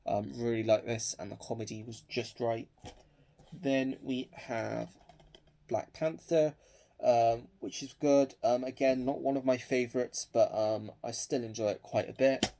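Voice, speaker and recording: A man's voice: medium pitch, fairly even intonation, measured pace, mellow, a little hiss or hum. A young man with a cold, sniffling as he chats hesitantly with lots of ums about his film collection. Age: young adult. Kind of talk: casual collection showcase.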